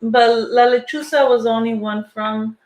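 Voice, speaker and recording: A man's voice: very high voice, steady tone, normal speed, a little mellow, echoey room. A man co-hosting a live podcast, mostly chiming in with short agreements and side comments. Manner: brief agreeing interjections. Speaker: podcast co-host.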